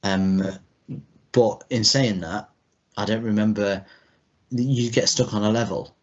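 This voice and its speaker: A man's voice: lowish voice, fairly even intonation, takes it slowly. A man in his thirties co-hosting a podcast, chatting casually in a loose, rambling way. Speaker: podcast co-host. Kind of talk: casual chat. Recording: dull lo-fi podcast audio.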